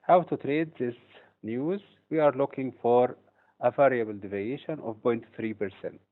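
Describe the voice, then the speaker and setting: A man from Egypt teaches a trading webinar in a measured, explanatory way, pausing now and then with a hesitant "uh." His voice sits at an ordinary middle pitch. The audio is narrow and tinny, like a call over a phone line. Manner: steady, explanatory delivery with occasional hesitations. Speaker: trading instructor. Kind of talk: webinar presentation.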